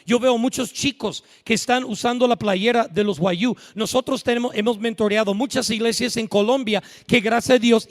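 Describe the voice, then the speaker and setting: Male preacher, high-pitched, emphatic sermon delivery, recorded in a church with a long echo. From United States. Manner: emphatic, rising to shouts at peaks. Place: church sanctuary. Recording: church hall reverb.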